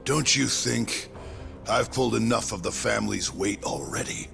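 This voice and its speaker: A man playing a menacing, foul-mouthed middle-aged yakuza captain in a crime video game, with a gravelly, sneering delivery. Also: fairly low pitch, normal speed. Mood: stern, threatening. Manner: sneering, threatening. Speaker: voice actor in a video game dub. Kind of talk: cinematic crime game acting. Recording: dramatic music behind the voice.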